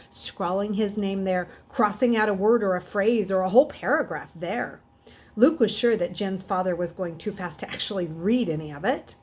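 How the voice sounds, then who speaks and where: Husky woman reading a story aloud. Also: mid-range voice. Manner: expressive, with stumbles and self-corrections. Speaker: reader. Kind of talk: read-aloud of a children's novel.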